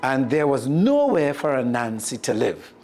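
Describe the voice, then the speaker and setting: This is a Caribbean storyteller reading a folk tale aloud. The delivery is expressive, with a pitch that moves up and down in a lively way. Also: a little slow.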